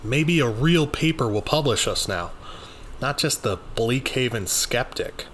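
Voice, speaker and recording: Man acting an eager, bright-voiced male investigator. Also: mid-range voice, unhurried, slight background noise, pitched above the performer's own voice. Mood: eager pleading. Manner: excited, incredulous. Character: eager, stubborn male amateur monster investigator. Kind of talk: acted dialogue in an audio story.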